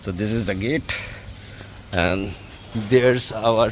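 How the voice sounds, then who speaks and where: A man's voice: mid-range voice, steady tone, slow, a little hiss or hum. A man with a Bengali Indian accent, a native Bengali speaker, giving an informal hands-on product demonstration as a vlogger. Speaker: vlogger presenting a product test.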